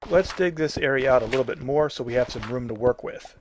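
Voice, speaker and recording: A man's voice: mid-range voice, fairly quick, a little mellow, a little hiss or hum. A man giving casual, instructional commentary as the host of a video game tutorial.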